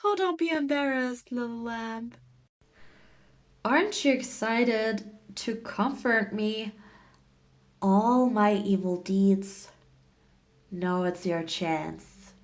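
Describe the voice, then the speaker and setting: A woman playing a cruel, arrogant demon queen in a roleplay audio drama, teasing and condescending as she speaks straight to the listener. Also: on the high side. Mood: gloating, mocking. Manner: teasing, condescending, drawn-out delivery. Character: cruel, arrogant adult female demon queen, mocking and possessive.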